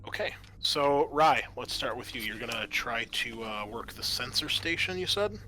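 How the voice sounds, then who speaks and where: A man acting as game master of a tabletop roleplaying game, talking in a halting, conversational and accommodating way. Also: medium pitch, animated, sharp tone, slight background noise.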